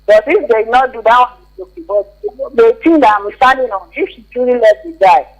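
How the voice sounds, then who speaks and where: A man's voice: extremely high pitch, animated, brisk, poor audio. A man with a Nigerian accent giving his opinion informally as a caller on a radio phone-in, hesitant and repeating himself. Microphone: telephone call to a radio show.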